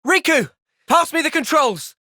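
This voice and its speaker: An actor voicing an earnest, thoughtful young male soldier and leader in a video game, with an English accent and a measured delivery. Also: very high-pitched, lively intonation, bright, forceful tone, dry recording, clean studio sound, exaggerated voice. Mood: urgent. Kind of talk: video game cutscene acting.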